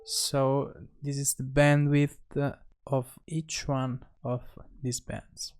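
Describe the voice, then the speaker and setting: A man narrating a software tutorial as an instructor, speaking haltingly and pausing between short phrases. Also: mid-range voice, flat delivery, slight background noise.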